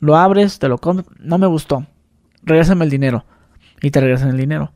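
A Mexican-accented man hosting a relaxed conversation, slightly high for a man, with a dark, mellow timbre. He is joking and colloquial. The recording is dry and close. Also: brisk, no echo. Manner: joking, colloquial, acts out quotes. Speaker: interviewer. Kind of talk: casual interview conversation.

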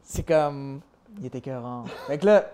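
Young French man, mellow voice. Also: mid-range voice, normal speed. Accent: French, partly Québécois. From France. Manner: animated storyteller, acts out dialogue, laughs often. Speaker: guest. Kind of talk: casual interview chat.

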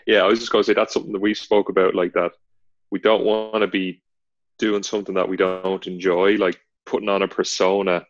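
A young man with a nasal voice, co-hosting a podcast in an understated, low-key way. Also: a little fast. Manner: low-key, not very expressive. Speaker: podcast co-host. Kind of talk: casual chat. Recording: dull, lo-fi, dry sound.

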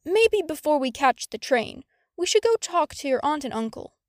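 A woman's voice: high voice, very animated, moderate pace, no room sound, clean studio sound, pitched above the performer's own voice. A woman voicing a concerned, protective, courteous father in a storybook reading.

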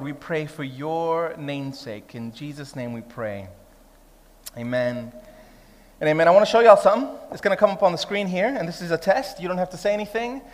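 A man, preaching a sermon in a conversational, humorous way that builds to emphatic declarations. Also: on the high side. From Brooklyn, New York. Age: 30s. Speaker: pastor. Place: church hall. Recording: long reverb of a church hall.

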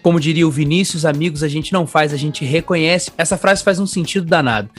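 Carioca guy hosting a podcast, kinda high voice, talks fast, super informal and effusive, music behind. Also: fairly bright tone, breathy edge. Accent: Carioca (Rio de Janeiro) Brazilian Portuguese. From Brazil, born in Bahia, raised in Rio de Janeiro. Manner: effusive, informal, addresses guest affectionately. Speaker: podcast host. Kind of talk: casual podcast chat.

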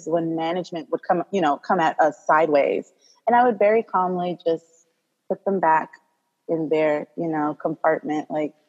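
A woman from Congo, a doula and podcast guest, talking in a calm, direct way and laughing often. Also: sits low, normal speed, highs cut off. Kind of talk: casual podcast interview.

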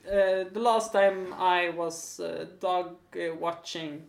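A woman's voice: fairly low pitch, steady tone, takes it slowly, gravelly, echoey room. A woman with a Swedish accent hosting a casual podcast interview, hesitant with many fillers and easy laughs. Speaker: podcast host.

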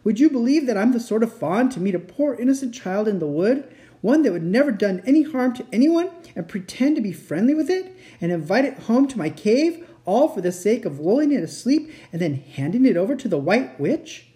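A woman's voice: fairly high pitch, very animated, brisk, dark tone, pitched above the performer's own voice. A woman voicing a sorrowful, guilt-ridden male fawn in a melodramatic, tearful storybook reading. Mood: guilty, anguished. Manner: tearful, self-reproaching, melodramatic.

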